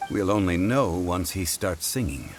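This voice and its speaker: A deep, dignified ancient sage. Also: male voice, expressive, noisy background. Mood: instructive. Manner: formal, measured, lecturing. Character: ancient, composed scholarly man, dignified and wise. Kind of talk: video game voice acting.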